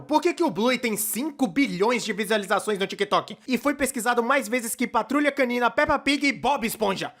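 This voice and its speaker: A man's voice: very high-pitched, a bit bright. A man with a Brazilian accent playing a chatty, playfully sarcastic cartoonist narrator in a scripted fan dub. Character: chatty, excitable young male cartoonist narrator.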